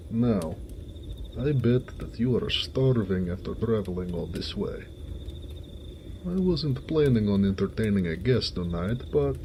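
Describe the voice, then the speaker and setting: A man playing a gentle, affectionate orc blacksmith in a romantic audio roleplay, speaking tenderly with some teasing. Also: lowish voice, slight background noise. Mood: hospitable, caring.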